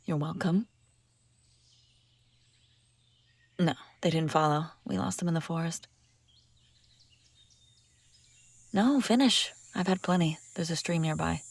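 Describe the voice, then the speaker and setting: A woman's voice: low-pitched, a little fast, vocal fry, dry recording. A woman playing a brusque, sardonic but secretly caring female bounty hunter in a scripted fantasy audio roleplay, speaking straight to the listener. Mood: dry, caring. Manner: sarcastic, teasing, often dropping to hushed urgency.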